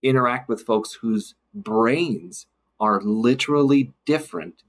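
A man speaking as a podcast guest in a thoughtful discussion, reflective and a little halting, pausing for emphasis. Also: medium pitch. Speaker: podcast guest, city council member.

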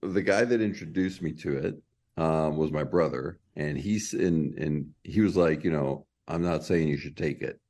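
A middle-aged man being interviewed, answering in a relaxed, agreeable way. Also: very deep voice, moderate pace. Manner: short, agreeable replies. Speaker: guest. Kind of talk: casual interview chat.

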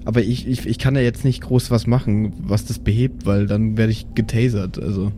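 A man's voice: lowish voice, fairly even intonation, normal speed, dark tone, slight background noise. A man playing in an improvised tabletop role-playing audio play, casually thinking aloud.

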